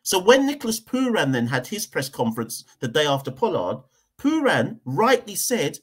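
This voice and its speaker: A man's voice: fairly high pitch, very animated, moderate pace, breathy edge. A man from Jamaica, hosting a cricket podcast and giving a heated opinion rant, hammering points home by repeating them. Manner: emphatic, repeats points for stress.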